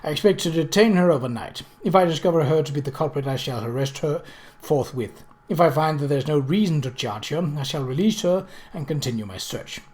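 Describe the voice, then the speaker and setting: A man's voice: on the high side, expressive, a little fast, a little mellow, natural voice. Man reading as a mild, formal investigator. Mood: formal, resolute. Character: careful, suspicious male police investigator, quietly formal. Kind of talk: novel reading.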